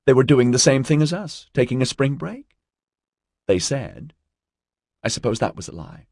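A man's voice: mid-range voice, very animated, moderate pace, gravelly, a little mellow, slightly rough, no room sound, clean studio sound, voice pushed up, full character voice. A man voicing a distraught, naive twenty-year-old woman student in an audiobook, pleading and woebegone. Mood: wistful, hurt.

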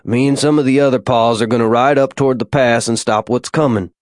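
A man narrating an audiobook, voicing a tender frontier father holding back tears, folksy and gentle. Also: medium pitch, fairly even intonation, fairly quick, no echo, studio quality, close to an everyday voice. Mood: tender, resolute. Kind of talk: audiobook character dialogue.

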